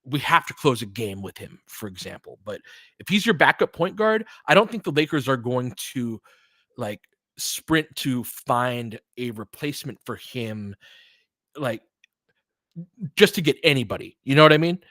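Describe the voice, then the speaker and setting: A man in his forties doing casual play-by-play of a basketball game, chatty and excitable, thinking aloud between plays. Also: mid-range voice, normal speed, no echo. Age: 40s. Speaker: play-by-play host. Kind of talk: casual fan play-by-play commentary.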